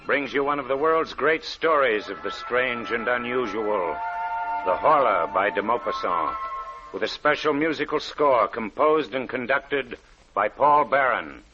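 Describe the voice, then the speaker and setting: A man's voice: mid-range voice, lively intonation, a little slow, bright, forceful tone. A man reading a dramatic scripted programme announcement in 1940s radio style. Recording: muffled 1940s radio broadcast. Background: music.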